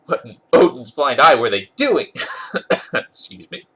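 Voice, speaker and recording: Congested, husky man reading a story aloud. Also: medium pitch, sing-song, unhurried, a bit bright. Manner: amused, stumbles over words and corrects himself. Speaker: podcast host reading fan fiction aloud. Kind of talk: dramatic reading of a comic story.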